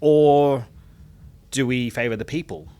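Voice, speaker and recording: Australian man, mid-pitched, casual podcast co-host. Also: unhurried, dark tone, smooth. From Melbourne, Australia. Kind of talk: casual unscripted podcast discussion.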